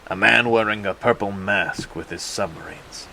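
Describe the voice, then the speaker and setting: A man playing a proud, stern king of an undersea realm in a superhero audio drama, speaking formally and deliberately. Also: fairly low pitch, creaky, background noise. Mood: grave, conciliatory.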